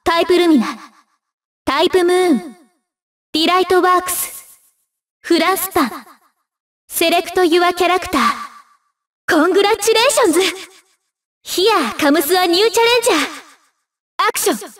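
A young female anime fighter calling out matches in a video game. Her voice is very high, with a Japanese accent. She delivers short, emphatic announcements, sounding set back from the mic. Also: normal speed, long pauses, distant mic. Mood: clear, spirited.

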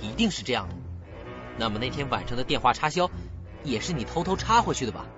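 An actor playing a bitter, sarcastic younger sibling making accusations in a radio drama. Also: male voice, mid-range voice, sing-song, fast talker, close to an everyday voice. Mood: bitter, accusing. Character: bitter younger sibling confronting a scheming older brother. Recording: muffled dull recording with loud music.

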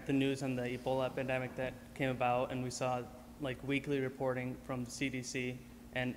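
A man reads a prepared question from the audience, stumbling and restarting his phrases. His delivery stays flat and even. A long hall reverb and faint noise surround him. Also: medium pitch. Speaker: audience member asking a question. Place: large auditorium.

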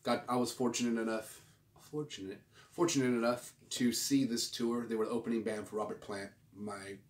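A man's voice: mid-range voice, steady tone, normal speed. A man in his forties chatting casually to camera like a vlog host, with a laid-back, rambling, slangy delivery. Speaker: host of a record collecting video channel. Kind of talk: casual show-and-tell vlog chat. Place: small home room, indoors. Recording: roomy, distant mic with clear background noise.

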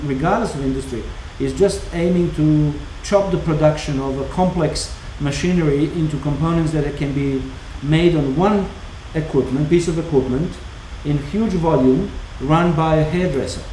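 A man in his fifties with a heavy former Yugoslav accent, telling animated stories in a casual chat as a watchmaker and co-host. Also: a little high, normal speed, few pauses. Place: indoor watchmaking workshop. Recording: roomy workshop with faint hum.

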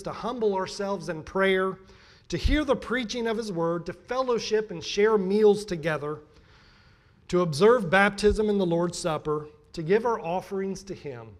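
High-pitched American man preaching. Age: middle-aged. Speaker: pastor. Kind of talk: sermon. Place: church pulpit, indoors.

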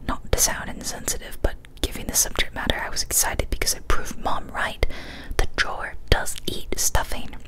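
A young woman whispers a horror story in an ASMR style. Her voice is breathy and unvoiced, kept soft and hushed right up at a studio microphone. She reads with careful, deliberate phrasing. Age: young adult. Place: small decorated indoor room. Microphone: large studio microphone, close up.

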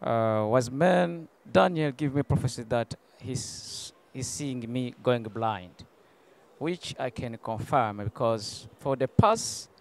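A man with a Congolese accent gives his testimony at a slow pace. His pitch is average and his phrasing is halting and broken up. He speaks as a congregant to camera, close on a handheld mic. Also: lively intonation. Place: outdoor arena stands. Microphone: handheld interview microphone held close.

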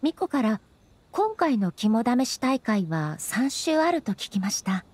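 A woman voicing a dignified, gentle female ruler in a Japanese anime game, speaking politely and formally with measured composure. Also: on the high side, very animated. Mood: calm, encouraging.

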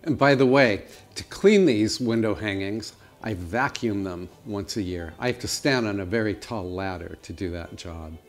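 A man's voice: lowish voice, moderate pace, few pauses, soft background music. A middle-aged man chatting casually to the camera as he gives a tour of his large old house. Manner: chatty, friendly tour-guide delivery. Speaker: home vlogger giving a house tour. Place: large old house with high-ceilinged rooms, indoors.

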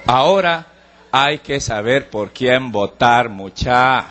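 A man with a Guatemalan accent doing a crude, shouting devil doctor character in a live stand-up act on a handheld mic. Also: medium pitch, expressive, slow pace, background noise, pitched below the performer's own voice. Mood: rowdy. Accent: Guatemalan Spanish. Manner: shouting, vulgar, mocking. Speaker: stand-up comedian. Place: outdoor-style stage at a live charity show.

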